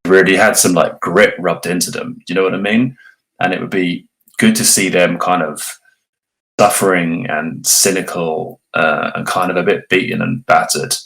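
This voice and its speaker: A man's voice: deep voice, fairly even intonation, normal speed, creaky, fairly bright tone, rough recording. A man speaking as a guest in a casual online discussion, thinking his points through as he goes. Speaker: cast member, guest. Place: remote online voice call.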